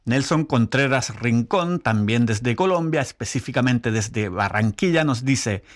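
A man co-hosting a radio program, reading listener messages in a clear announcer style. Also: medium pitch, lively intonation, measured pace. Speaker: radio co-host. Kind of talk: scripted radio presentation.

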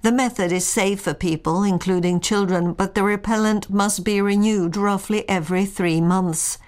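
A woman's voice: medium pitch, normal speed. Woman reading a radio news report. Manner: steady, clear reading.

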